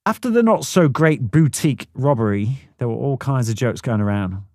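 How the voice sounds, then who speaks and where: A man's voice: medium pitch, lively intonation, normal speed, darkish tone, no room sound, studio quality. A man narrating a first-person memoir audiobook in a blunt, plain-spoken way, swearing freely.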